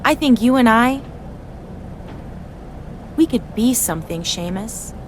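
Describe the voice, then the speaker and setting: A woman's voice: on the high side, lively intonation, takes it slowly. A woman playing a tough, flirtatious wrestler in a scripted game cutscene, admiring and seductive, with noise behind her.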